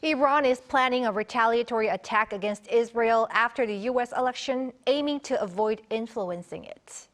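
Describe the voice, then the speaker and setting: A woman's voice: on the high side, moderate pace, few pauses. A woman anchoring a television news broadcast, reading scripted stories in a formal news style.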